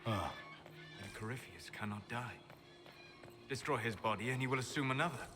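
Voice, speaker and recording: A man playing a grave, scholarly mage companion in a fantasy video game, speaking in a measured, solemn way. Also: medium pitch, music in the background, lightly acted.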